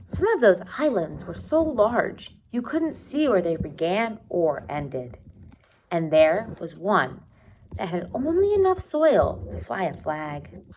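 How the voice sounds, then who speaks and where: Slow, low-voiced woman reading a story aloud. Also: a little hiss or hum, rough recording. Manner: expressive reading, pausing to comment and think aloud. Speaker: teacher reading a children's novel aloud. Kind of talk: read-aloud storytelling with asides to students.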